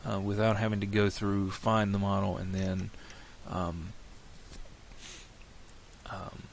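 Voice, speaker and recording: A very low, gravelly male voice, a little nasal from a cold, talking through each step of a software tutorial. He rambles and restarts as he thinks aloud. A faint hiss of noise sits under the desktop mic. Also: little pitch movement, takes it slowly. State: has a cold, slightly nasal. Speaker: tutorial creator.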